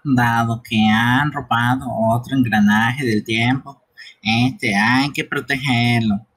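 A man's voice: mid-range voice, steady tone, takes it slowly, fairly bright tone, slightly rough. A man voicing a cheerful, earnest creature guild master in a live amateur dub of a game.

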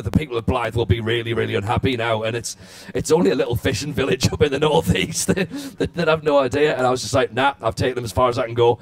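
A man in his thirties, a comedian on a stage panel, telling a long comic story into a handheld mic with frequent restarts and fillers. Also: mid-range voice. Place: stage before a live audience. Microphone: handheld microphone.

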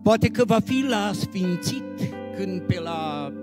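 Man, fairly high voice, solemn poetry recitation, expressive dramatic phrasing, deliberate pauses, soft instrumental backing. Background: instrumental music.

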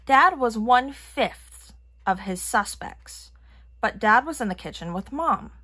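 A woman reading a children's picture book aloud in an expressive manner. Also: a little high, a little slow. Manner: expressive, acting out characters' lines. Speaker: storybook reader.

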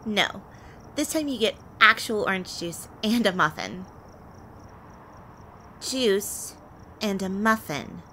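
Casting: actress as playful, flirty female vampire for audio roleplay; bright voice, unhurried, teasing delivery. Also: mid-range voice, sing-song, slight background noise, heavily acted. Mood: teasing, bossy. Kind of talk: intimate audio roleplay acting addressed to the listener.

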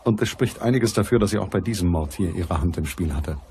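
Low-voiced hard-boiled private detective. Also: male voice, a little fast, a little mellow, slight background noise. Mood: tense, controlled. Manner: terse, matter-of-fact storytelling. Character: hard-boiled private detective, cool, watchful, unsentimental man. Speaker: actor playing narrator and lead detective. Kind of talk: scripted first-person crime radio drama narration.

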